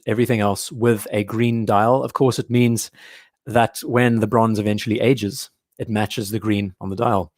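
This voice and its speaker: A young man talks casually through a live stream with a hoarse, strained voice, now and then clearing his throat. His voice sits somewhat low. The sound is close and dry. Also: dry recording. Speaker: host of a live watch show. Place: at home.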